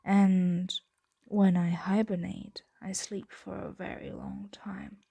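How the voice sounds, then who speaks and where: Woman voicing a slow, breathy, possessive dragon. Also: medium pitch, flat delivery, mellow, no room sound, full character voice. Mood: calmly insistent. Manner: slow, deliberate, often dropping into whispers. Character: possessive, doting female dragon hoarding a human captive. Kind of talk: intimate ASMR roleplay.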